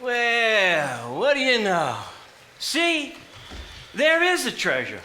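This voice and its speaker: A man playing an eager, greedy, pushy treasure hunter in a live stage drama, with an excitable manner. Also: very high-pitched, slow, a little hiss or hum, exaggerated voice. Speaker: stage actor.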